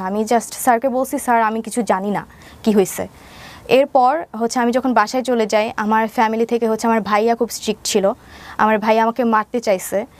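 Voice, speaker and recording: A young woman with a Bangladeshi accent, giving a personal account as an interview guest and mixing in English words. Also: a little high. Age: young adult. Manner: mixes English words into her speech.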